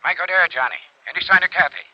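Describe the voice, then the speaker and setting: Anxious, high-voiced small-town father. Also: expressive, fast, very noisy. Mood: worried. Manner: agitated, hesitant, worried. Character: anxious small-town father searching for his missing daughter. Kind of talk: 1950s radio drama acting. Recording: muffled old radio recording.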